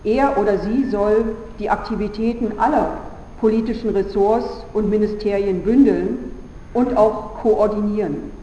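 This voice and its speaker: A woman from Berlin delivers a prepared speech with earnest, deliberate phrasing and a mellow, dark tone. The sound is dull and lo-fi, with long reverb from a large hall. Faint ambience hangs behind her. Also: medium pitch, slight background noise. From Berlin, Germany. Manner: measured and earnest, with deliberate pauses. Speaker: politician giving a conference speech.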